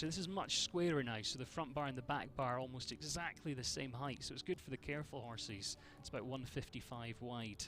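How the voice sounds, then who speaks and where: A man's voice: mid-range voice, fairly bright tone. A man from Scotland with a Scottish accent, giving chatty live commentary on a show jumping event and greeting viewers by name.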